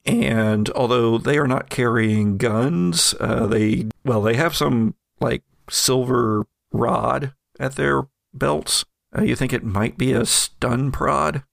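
A man runs a tabletop game, describing rooms and objects in a loose, chatty way. His voice has a gravelly edge and sits at a middle pitch. The recording is dry and close. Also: slow pace, no echo. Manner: relaxed, chatty descriptions with fillers. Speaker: game master.

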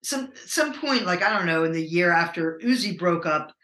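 A middle-aged American woman with a low voice, a musician talking casually in an interview, rambling and hesitant with many fillers. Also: expressive, normal speed, fairly bright tone, echoey room. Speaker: musician guest.

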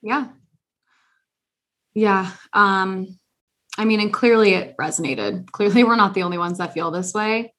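A woman co-hosting a podcast in casual chat, blunt and animated, swearing freely. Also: medium pitch, unhurried, pauses often. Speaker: podcast co-host.

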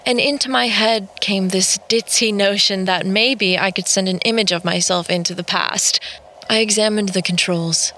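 An actress playing a wry young American artist, narrating and speaking with an American accent in an audio drama. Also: female voice, medium pitch, fairly even intonation, moderate pace, pushed and bright, lightly acted, rough recording. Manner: wry, sardonic.

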